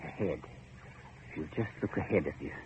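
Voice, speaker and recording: A man's voice: rumbling low, expressive, brisk, a little mellow. An actor playing a grim, cryptic older boatman in old radio horror style, speaking in folksy, clipped phrases. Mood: terse, foreboding. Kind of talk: 1940s radio horror acting. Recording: noisy muffled old radio recording.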